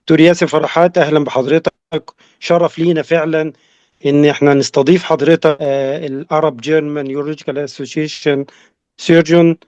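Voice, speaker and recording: A man with an Egyptian accent rapidly introduces a guest, sliding between English and Arabic. His voice sits a little high. The recording is dry and muffled. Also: fairly even intonation, darkish tone, no room sound. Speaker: session host. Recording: dull dry lo-fi audio.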